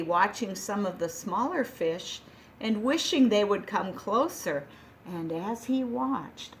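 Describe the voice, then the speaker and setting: A woman reading a children's picture book aloud, gentle and expressive, with dramatic pauses. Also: mid-range voice, a little hiss or hum.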